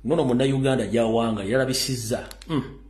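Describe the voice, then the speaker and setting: A man's voice: mid-range voice, steady tone, a little fast, darkish tone, echoey room. Ugandan man warning in urgent commentary. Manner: urgent, warning, mixes English into Luganda. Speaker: political commentator. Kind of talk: political commentary monologue.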